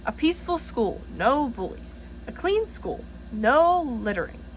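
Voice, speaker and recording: Earnest young schoolgirl played by a woman reader, storybook style, lively swings in pitch, determined. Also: a little slow, slight background noise, poor audio. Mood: earnest campaigning.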